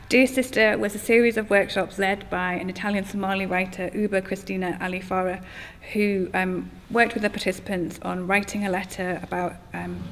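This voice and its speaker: A woman's voice: medium pitch, a bit bright. A woman giving a welcome speech to a live audience as the host of an event. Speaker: event host and festival partner manager. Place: reverberant event hall. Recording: echoey hall, long reverb, background noise.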